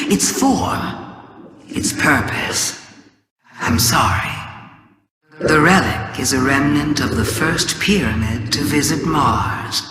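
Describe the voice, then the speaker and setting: Cunning old alien witch queen from a game, drawn out and taunting, swoopy pitch, super sly. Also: a bit bright, exaggerated voice. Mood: sly, ominous. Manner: sly, deliberate, taunting, grandiose. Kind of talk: theatrical video game monologue. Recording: echoey voice with long cavernous reverb.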